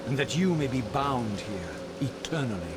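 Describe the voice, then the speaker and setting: An actor playing a sardonic undead vampire wraith who speaks in measured, probing arguments. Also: male voice, medium pitch, animated, loud music behind the voice, lightly acted. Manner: measured, sarcastic. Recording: echoey with loud score.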